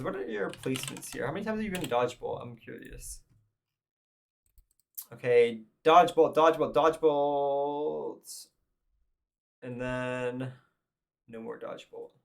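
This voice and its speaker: A man's voice: medium pitch, lively intonation, measured pace, vocal fry, long pauses. A man streaming live who is losing his voice, chatting loosely and thinking aloud with lots of restarts. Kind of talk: casual live-stream commentary.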